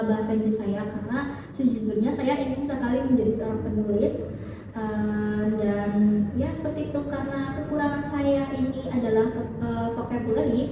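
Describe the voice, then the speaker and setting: Young woman, Javanese accent, hesitant, dull noisy recording, long reverb. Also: on the high side. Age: young adult. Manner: hesitant, many fillers and restarts. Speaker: student interviewee. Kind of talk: casual interview answers. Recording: distant echoey dull recording with noise.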